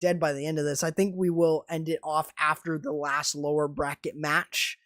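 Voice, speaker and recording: A young man commenting live on a video game match, excitable and quick to burst into exclamations, his voice strained and starting to go hoarse. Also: on the high side. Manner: excitable, rising to sudden exclamations. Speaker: esports commentator and streamer.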